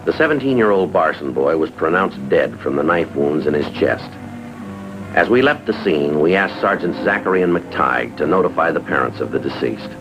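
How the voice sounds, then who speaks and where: An American man playing a stoic, businesslike police detective in a 1950s drama, speaking in a clipped, matter-of-fact way. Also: extremely low pitch. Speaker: police detective lieutenant and narrator. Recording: dull vintage TV soundtrack, music behind.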